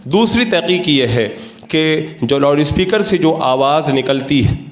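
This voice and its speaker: Male cleric, slightly higher-pitched, formal and didactic religious lecturer, recorded with clear room echo. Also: lively intonation, unhurried. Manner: didactic, methodical, formal Urdu-laden diction. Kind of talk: religious lecture, explanatory sermon. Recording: roomy, echoing sound.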